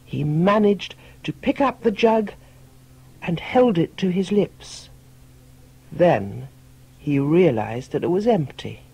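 A man's voice: sits high, lively intonation, slow, gravelly, pauses often, a little mellow, slightly rough, low-quality recording. A man reading a short fable as a storyteller, in scripted audiobook narration.

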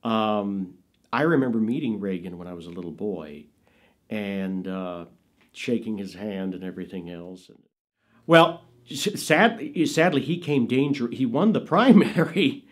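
Brief: middle-aged man, lowish voice, lively anecdotal interviewee, studio sit-down. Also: measured pace, slightly rough. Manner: animated, laughing storyteller who jumps into high-pitched quoted voices. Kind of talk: anecdotal storytelling. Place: indoors, studio with dark curtain backdrop.

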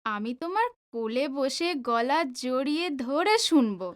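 A woman's voice: sits high, lively intonation, measured pace. A woman playing a pouting, headstrong, lovestruck young woman in an audio drama, petulant and pleading. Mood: coy, insistent. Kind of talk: Bengali audio drama acting.

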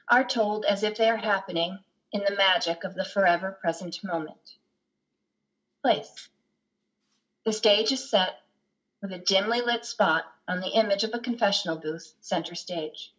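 Middle-aged woman, play narrator, medium pitch, scripted reading, muffled video-call sound. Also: a bit bright. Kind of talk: scripted stage-direction narration. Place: lamp-lit home room, video call. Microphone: computer mic on video call.

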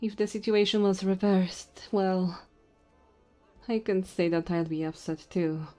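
A woman voicing a tipsy, flirty goblin innkeeper in an intimate ASMR roleplay, soft and teasing with hesitant pauses. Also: medium pitch, steady tone, soft background music, close to an everyday voice. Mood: apologetic, sheepish.

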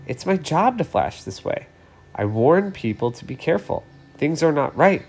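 A man voicing a cautious, weary female yellow traffic light that warns others, in a children's storybook. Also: fairly high pitch, sing-song, moderate pace. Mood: earnest, cautioning.

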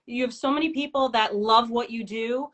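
Bright, effusive woman host. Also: a little high, moderate pace. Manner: effusive and enthusiastic. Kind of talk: live interview. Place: indoor room. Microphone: video call.

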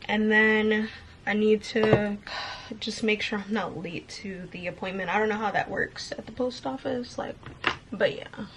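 A woman's voice: medium pitch, steady tone, unhurried, fairly bright tone, slight background noise. A woman in her late twenties from the United States, chatting upbeat to the camera in her bedroom while putting on her makeup. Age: late 20s. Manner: chatty and upbeat, talking while gluing on lashes. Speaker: beauty vlogger. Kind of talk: casual chat to camera while doing makeup. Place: bedroom, indoors. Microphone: camera on desk facing her.

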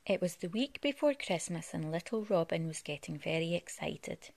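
Low-voiced woman reading a children's storybook. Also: lively intonation, normal speed, gravelly, a little mellow, a little hiss or hum. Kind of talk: read-aloud children's storytelling. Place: living room at home.

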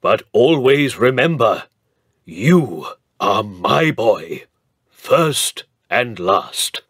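A gruff old earl, as a storybook narrator puts him on, with slow, clipped phrases that carry weight. His tone rises and falls in a lively way. The sound is close and dry. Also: on the low side, dry recording, exaggerated voice. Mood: tender. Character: stern old earl softening toward his grandson.

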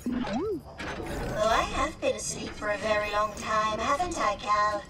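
A woman's voice: mid-range voice, expressive, slow, recorded from a distance, over loud music. A woman voicing an ancient female droid in a game, formal, polite, earnest and naive, with a refined lilting delivery. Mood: groggy, wistful. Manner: formal, polite, easily amazed. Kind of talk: video game cutscene acting.

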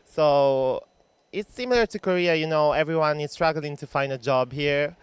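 Frank Italian man, higher smooth voice. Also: moderate pace, no echo. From Italy. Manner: frank, casual. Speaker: interviewee. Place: city street outdoors.

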